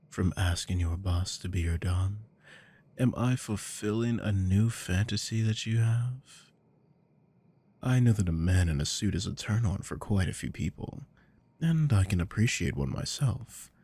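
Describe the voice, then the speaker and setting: Man acting as possessive male boss, dark mellow tone, unhurried delivery, commanding, teasing, gravelly, intimate ASMR roleplay. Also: heavily acted. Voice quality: deep, gravelly. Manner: commanding, coaxing, low murmurs slipping into whispers.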